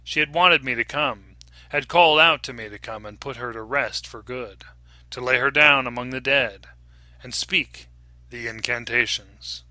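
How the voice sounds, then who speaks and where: Bright, crisp-edged man narrating horror. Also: medium pitch, moderate pace, no echo. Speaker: story reader. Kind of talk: dramatic audiobook narration of a horror story.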